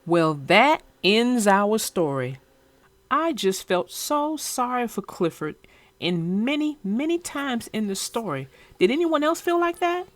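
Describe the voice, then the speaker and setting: A woman narrating for children in an expressive read-aloud style, speaking directly to her young listeners. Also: fairly high pitch, slow, no echo.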